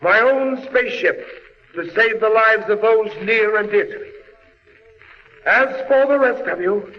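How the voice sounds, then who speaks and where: A high-pitched, impassioned scientist from another world speaks like a prophet of doom, grave and oratorical. The sound is muffled, with hiss and a long reverberant tail. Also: male voice, measured pace. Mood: bitter resolve. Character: impassioned alien scientist warning of doom, husband and father. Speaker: radio drama actor. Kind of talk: 1940s radio drama acting. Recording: muffled, hissy old radio recording, long reverb.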